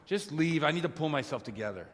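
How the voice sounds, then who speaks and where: An actor playing an exasperated, blunt medieval village priest who scolds gruffly in a video game. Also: male voice, on the high side, expressive, quick pace, close to an everyday voice. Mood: angry, weary. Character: angry, exasperated medieval village priest, foul-mouthed man. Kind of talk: dramatic video game acting. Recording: long hall reverb.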